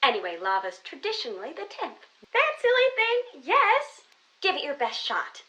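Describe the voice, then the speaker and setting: Bright, high-pitched, theatrical young ghost hunter woman. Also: huge pitch swings, moderate pace, full character voice. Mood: playful, wry. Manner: dramatic, teasing, sarcastic. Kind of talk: scripted game voice acting.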